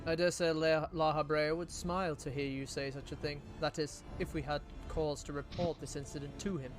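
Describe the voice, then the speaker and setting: Polite, higher-voiced British adventurer read by a man. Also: steady tone, over music, natural voice. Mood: polite curiosity. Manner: formal, measured. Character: polite, inquisitive adventurer versed in magic. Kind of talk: live read of video game dialogue.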